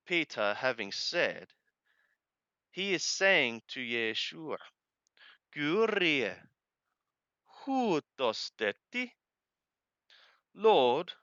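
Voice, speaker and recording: A man reading scripture aloud phrase by phrase and translating literally, with deliberate, halting, emphatic phrasing. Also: fairly high pitch, sharp tone, clear, resonant tone, dry recording, lo-fi sound. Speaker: Bible reader and translator.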